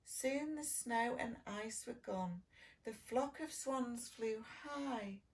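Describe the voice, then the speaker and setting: A woman reading a children's storybook as a preschool lead, with sing-song delivery, dramatic pauses and simple questions for young listeners. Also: on the high side. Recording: distant microphone.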